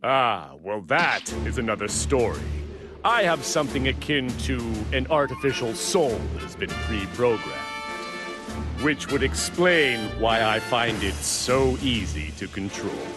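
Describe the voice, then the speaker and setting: An actor playing an arrogant, calculating bio-engineered insectoid villain in an English anime game dub, with a pompous, formal manner. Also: male voice, medium pitch, animated, natural voice. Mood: smug, analytical. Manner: pompous, haughty, deliberate formal phrasing. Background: music.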